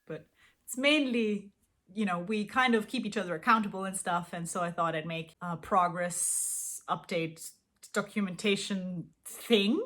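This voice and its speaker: A woman's voice: medium pitch, a little slow, a bit bright. Giggly woman. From Austria. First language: Austrian German. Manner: chatty, giggly, self-deprecating, lots of fillers. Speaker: language-learning vlogger. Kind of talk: casual chat to camera.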